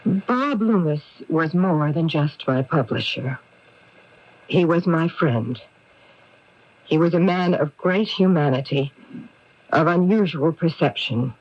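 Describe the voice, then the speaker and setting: An actress playing a worldly, evasive, sharp-tongued middle-aged newspaperwoman, with theatrical emphasis, in a 1980s radio mystery. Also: sits low, animated, takes it slowly, long pauses, exaggerated voice. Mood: solemn. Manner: theatrical, emphatic, repeats words. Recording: dull, dry lo-fi radio broadcast.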